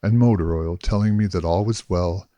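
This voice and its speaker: A man's voice: low-pitched, moderate pace, mellow, lo-fi sound. A man narrating a scripted first-person story. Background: music.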